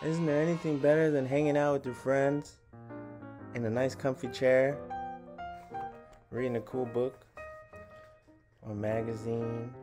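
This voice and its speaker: A man in his thirties hosting a picture-book read-along for young children, animated and chatty, often putting questions to his listeners. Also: medium pitch. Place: small indoor room at home.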